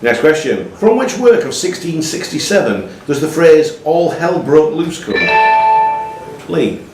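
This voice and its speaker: A man's voice: fairly high pitch, lively intonation, a little slow. A man hosting a live pub quiz as quizmaster, reading out questions and ruling on answers. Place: pub room. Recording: echoey pub room, distant mic, music behind.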